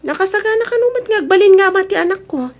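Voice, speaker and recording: A woman's voice: very high voice, animated, fast. A woman acting in an Ilocano radio drama as a lovestruck, stubborn adult daughter and single mother, with an Ilocano accent. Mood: pleading, earnest.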